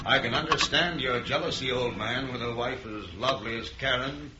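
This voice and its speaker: An actor playing a wealthy, smooth-talking investor with a husky voice in an old radio drama, casual and placating. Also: male voice, mid-range voice, normal speed, music in the background, close to an everyday voice. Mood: reassuring, smooth. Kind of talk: mid-century radio drama acting. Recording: muffled old radio recording.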